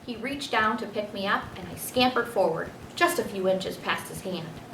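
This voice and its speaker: Storybook hamster narrator, played by a woman: clever, pleased with himself, expressive. Roomy, slightly noisy recording. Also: mid-range voice, moderate pace, natural voice. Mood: playful, self-assured. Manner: expressive children's storybook reading. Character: clever, self-satisfied pet hamster narrating his adventures. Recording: roomy, distant mic, faint noise.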